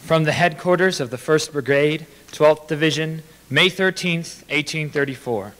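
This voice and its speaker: A man's voice: fairly high pitch, moderate pace, a bit bright. A man in his twenties formally reading historical letters aloud at a podium microphone in a hall. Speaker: dramatic reader. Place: large indoor hall with brick walls.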